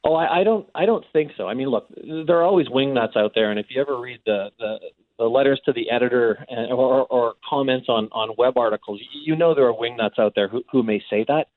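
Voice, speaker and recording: A Canadian man gives earnest interview answers on radio in a mid-pitched voice. He is halting and doubles words for emphasis. The sound is dry. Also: no echo, narrow, tinny audio. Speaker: interview guest, abuse survivor and advocate.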